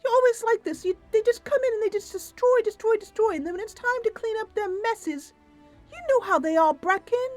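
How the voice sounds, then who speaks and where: A woman's voice: very high voice, expressive, fairly quick, a little mellow, soft background music, pitched above the performer's own voice. A woman voicing a petulant, distrustful female nixie, a little fae who complains and haggles in a tabletop-style game roleplay. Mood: indignant. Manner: sulky, complaining, bargaining.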